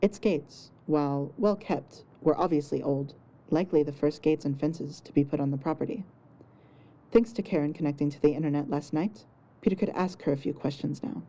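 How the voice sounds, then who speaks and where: Low, very mellow woman narrator. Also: normal speed, rough recording. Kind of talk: scripted fiction narration.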